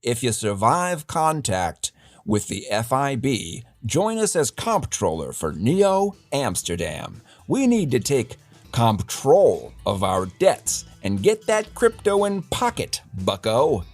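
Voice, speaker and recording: A man's voice: medium pitch, very animated, slow pace, no room sound, soft background music, heavily acted. A man playing a wry, defiant news host in a dystopian future city, delivering a satirical scripted newscast in a theatrical, mock-grand way. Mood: wry newsreading.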